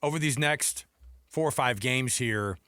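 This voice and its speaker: Dry, mid-pitched male sports radio host. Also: bright, forceful tone, smooth, dry recording. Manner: rambling, halting build-up to questions. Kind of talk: casual sports talk.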